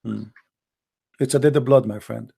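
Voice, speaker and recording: A man's voice: mid-range voice, a little slow, creaky, mellow, breathy edge. A middle-aged man with an Arabic accent, a native Arabic speaker, hosting a heated religious debate and pressing his points with repeated phrases. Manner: insistent, lecturing, repeats points. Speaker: host.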